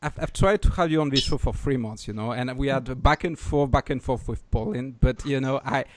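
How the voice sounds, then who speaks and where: A man hosts a casual podcast in English with a French accent. He rambles, gets excited and swears freely. His pitch is average, and the sound is dry and close. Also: fairly quick, dry recording. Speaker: podcast host.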